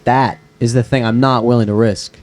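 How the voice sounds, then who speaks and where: A man's voice: medium pitch, fairly even intonation, moderate pace, a little hiss or hum, lightly acted. A man playing an earnest, teasing young best friend in a screenplay table read. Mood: tender.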